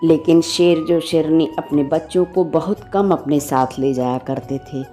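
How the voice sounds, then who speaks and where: A woman's voice: sits low, fairly even intonation, a little slow, mellow, faint music behind. A woman storyteller narrating a children's bedtime story.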